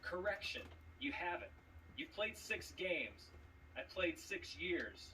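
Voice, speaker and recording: A man throws out cocky trash talk in a bright, forceful voice that swings widely in pitch. His delivery is theatrical and pointed, picked up a little far from the mic. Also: big pitch swings, takes it slowly. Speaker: trivia champion competitor. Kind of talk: trash-talk promo speech. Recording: distant mic with background noise.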